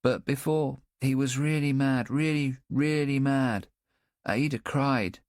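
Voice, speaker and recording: A man's voice: mid-range voice, fairly even intonation, dry recording, studio quality, pitched above the performer's own voice, lightly acted. A man doing an anxious, childlike young boy. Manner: halting, earnest, childlike. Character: anxious simple-minded young boy, earnest. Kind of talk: audiobook character voice.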